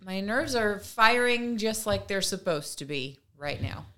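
A woman's voice: mid-range voice, animated, unhurried, bright, forceful tone. A woman co-hosting a casual talk show, commenting conversationally and acting out imagined quotes as she explains. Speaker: podcast co-host.

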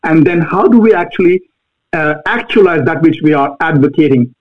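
A man with an Indian accent, a guest answering interview questions earnestly, pausing often on fillers. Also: fairly high pitch, animated. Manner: earnest, halting with many fillers. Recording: tinny phone-like line.

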